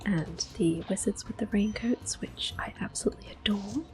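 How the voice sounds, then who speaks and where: A woman hosting ASMR gameplay, talking softly and gently with quiet laughs. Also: medium pitch, moderate pace. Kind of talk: whispered ASMR gameplay commentary.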